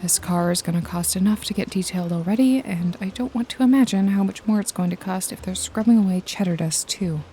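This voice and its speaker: A woman playing a mellow, sardonic noir detective. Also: mid-range voice, brisk, few pauses, lightly acted. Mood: dry, sardonic. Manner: sardonic, foul-mouthed, nonchalant, teasing. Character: wry, jaded female detective and hired killer, casually menacing. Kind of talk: noir ASMR roleplay, intimate one-sided dialogue to listener. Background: jazzy music and rain effects.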